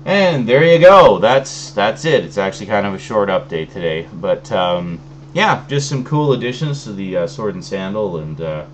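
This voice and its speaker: A man with a very low voice talks loosely through an unboxing, full of ums and trailing restarts. He sounds a little phlegmy from an oncoming cold. A faint hum sits under the recording. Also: moderate pace. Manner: relaxed, rambling, many fillers. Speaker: video host. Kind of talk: casual unboxing chat.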